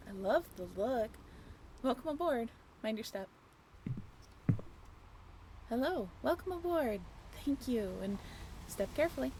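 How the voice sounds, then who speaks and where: A woman's voice: sits high, slight background noise. A woman playing an upbeat young tour guide on a sailing ship in a scripted audio roleplay, with a chatty, playful way of talking. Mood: welcoming. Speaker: role-play voyage guide.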